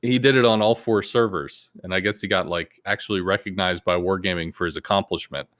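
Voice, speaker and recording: Low-voiced guy hosting a gaming podcast, chill and sweary, yelps when he quotes people. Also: a little fast, a bit bright. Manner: laid-back, profane, acts out quoted players in sudden high outbursts. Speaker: podcast host. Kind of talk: casual gaming chat.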